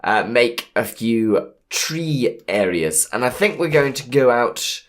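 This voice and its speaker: Hoarse man, unhurried, thinking aloud, let's-play commentary. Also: mid-range voice, animated. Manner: plans aloud, easygoing and punny. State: hoarse from a cold. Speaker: gameplay commentator.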